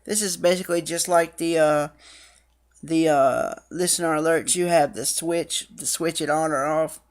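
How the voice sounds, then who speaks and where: Woman, low voice, casual app walkthrough, reads menu text then explains. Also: steady tone, moderate pace. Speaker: app reviewer and demonstrator.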